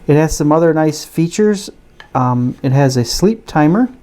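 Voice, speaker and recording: A man talks through a gadget review in a soft, mellow voice with a rounded tone. He explains each feature plainly and casually, taking his time. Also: a little high, expressive, normal speed. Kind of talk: casual product demonstration.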